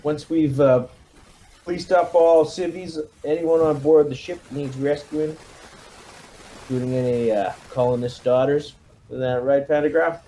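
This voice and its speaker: Mellow-voiced man giving a military briefing. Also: fairly high pitch, fairly even intonation, slight background noise, poor audio. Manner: military jargon and brisk command phrasing. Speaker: player leading a military squad in a tabletop roleplaying game. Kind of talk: mission briefing in an online tabletop roleplaying game.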